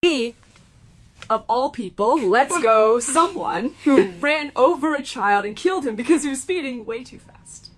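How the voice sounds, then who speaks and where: An actress in a radio play voicing a defensive, agitated housekeeper who speaks emphatically in a husky voice. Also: female voice, high-pitched, animated, unhurried. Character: defensive housekeeper, agitated, loyal to her resentful brother.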